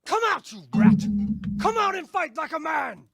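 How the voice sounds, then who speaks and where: An actor playing an anguished, hot-tempered medieval lord in a video game, speaking in a commanding, dramatic way. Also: male voice, very high voice, sing-song, normal speed, vocal fry, fairly bright tone, heavily acted. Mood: furious.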